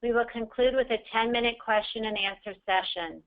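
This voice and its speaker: Woman call moderator, kinda high voice, reading a formal script carefully, dull lo-fi line. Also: brisk. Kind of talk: scripted introduction, formal announcement. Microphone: conference call line. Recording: dull, lo-fi conference call audio.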